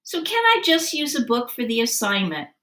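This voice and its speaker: An earnest, competitive storybook schoolboy, acted by a woman, speaks eagerly and excitably. The voice is high and bright, swinging in pitch, recorded in a roomy space. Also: normal speed. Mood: pleading. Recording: roomy, distant microphone.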